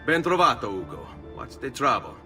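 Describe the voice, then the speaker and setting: A man's voice: mid-range voice, big pitch swings, brisk, bright, forceful tone, recorded from a distance, faint music behind. A man playing a cool, confident young assassin in a video game, with an Italian accent. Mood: confident, ready. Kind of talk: video game cutscene acting.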